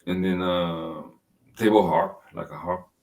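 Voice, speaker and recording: Man, very deep voice, laid-back podcast chat, rambling, swears a lot. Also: steady tone, measured pace, darkish tone. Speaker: podcast co-host. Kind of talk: casual chat.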